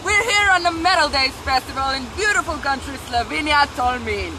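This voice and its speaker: A woman's voice: high voice, brisk. A young woman interviewing people on a handheld mic outdoors at a festival at night, playful and teasing, with frequent laughs. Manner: giggly, teasing, animated. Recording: noisy crowded outdoor festival recording. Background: crowd chatter and noise.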